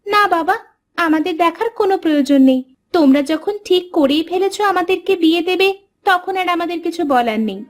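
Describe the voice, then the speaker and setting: A woman voicing a bashful but bossy young village sister in a cartoon fairy tale, animated and scolding. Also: very high voice, moderate pace, pitched above the performer's own voice. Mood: resigned.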